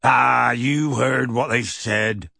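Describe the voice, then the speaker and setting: A man voicing a gruff, defiant but big-hearted half-giant gamekeeper in an audiobook, with a gravelly, husky voice and blunt rustic speech. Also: mid-range voice, expressive, unhurried, fairly bright tone, no echo, studio quality, heavily acted. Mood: dismissive.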